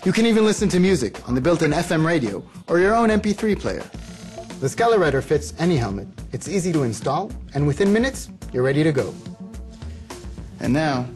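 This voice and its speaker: A man's voice: fairly high pitch, sing-song, fairly quick, a little mellow, soft background music. A man playing a friendly, confident biker in a scripted promo, presenting and chatting about his gear. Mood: upbeat, presenting. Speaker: presenter and actor in a product promo. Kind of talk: scripted promotional narration and dialogue.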